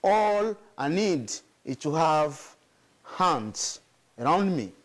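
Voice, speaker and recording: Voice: medium pitch, big pitch swings, extremely slow. An African community leader speaking emphatically in an interview, repeating key points.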